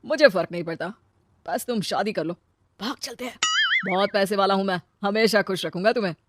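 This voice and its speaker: A woman's voice: mid-range voice, fairly quick, slight background noise, natural voice. A woman voicing a young male suitor and husband in a cartoon story, talking in an insistent manner. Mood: wooing.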